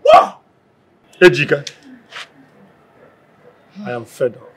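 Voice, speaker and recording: A man's voice: on the high side, huge pitch swings, extremely slow, slight background noise, exaggerated voice. An actor with a Nigerian accent playing a fed-up, self-important older family man who complains in declamations. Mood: exasperated. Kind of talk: Nollywood film acting.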